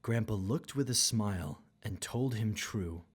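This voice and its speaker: A woman with a husky voice narrating a rhyming children's story expressively. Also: rumbling low, lively intonation, a little slow.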